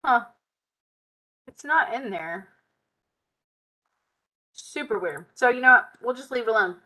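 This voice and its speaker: A woman with a bright, forward voice gone tired and hoarse, talking through a live software lesson at her desk mic. She mutters and hums while clicking around and keeps up an easy, chatty patter. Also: on the high side, expressive, measured pace, rough recording. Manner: chatty, Southern "y'all", muttering while working. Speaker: software tutorial host. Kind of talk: live screen-share tutorial, thinking aloud.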